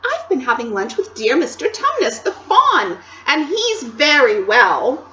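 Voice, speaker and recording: A woman playing an eager young storybook girl, high and bright with a voice that leaps about. The reading is loud and slow, on a slightly dull recording with faint hiss. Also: wildly swooping pitch, full character voice. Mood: excited. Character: excited cheerful young girl.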